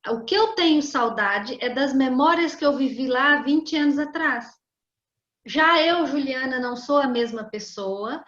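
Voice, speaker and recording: A middle-aged woman speaks as a psychologist in a measured, explanatory way. She often ends her points with a questioning tag. Her voice sits high and the recording sounds dull and roomy. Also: fairly bright tone. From Brazil. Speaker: psychologist guest. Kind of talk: calm explanatory interview talk.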